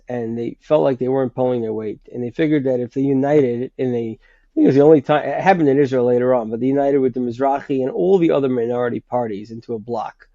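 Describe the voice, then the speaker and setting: A man with a sinus infection explaining history in a conversational way, pausing often and restarting his sentences. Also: mid-range voice, dark tone, dry recording. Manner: hesitant, many restarts and fillers. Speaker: guest writer. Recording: dull, lo-fi sound.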